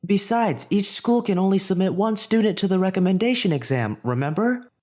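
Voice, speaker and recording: A nervous, earnest teenage boy, put on by a man, speaks in a raised, lively voice. He is polite and hesitant and often stammers. The story is told as a fan audio drama. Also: high voice, fairly quick, pitched above the performer's own voice.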